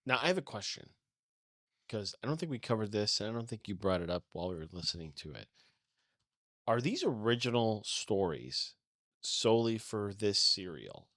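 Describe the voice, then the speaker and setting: A man's voice: lowish voice, sing-song, normal speed, a bit bright, no room sound, clean studio sound. A man co-hosting a podcast, giving opinions and asking questions in casual conversation. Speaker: podcast co-host. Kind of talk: casual discussion.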